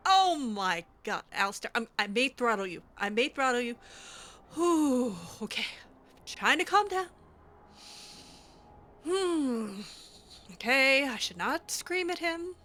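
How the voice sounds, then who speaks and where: A woman with a fairly high, bright voice that swings widely in pitch. She roleplays her way through a video game, reacting in a theatrical, exasperated and sarcastic way. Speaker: gamer roleplaying her character. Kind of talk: improvised in-character game playthrough commentary.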